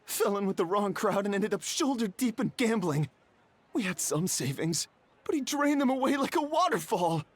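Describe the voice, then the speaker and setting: A man's voice: high-pitched, sing-song, normal speed. An actor playing a desperate, pleading middle-aged con man in a game, with a whiny, stammering, theatrical delivery. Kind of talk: dramatic game voice acting. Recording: music behind the voice.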